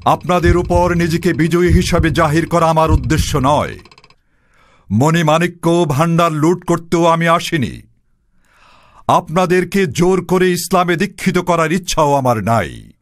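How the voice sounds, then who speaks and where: A man voicing a conquering general who makes a formal, measured proclamation to the people. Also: a little high, animated, no room sound, studio quality. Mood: solemn. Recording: voice over background music. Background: music.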